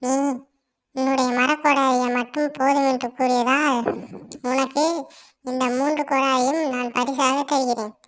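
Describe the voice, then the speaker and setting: A woman voicing a kind female pond fairy in a children's cartoon story, speaking gently. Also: sits high, level tone, measured pace. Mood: gentle.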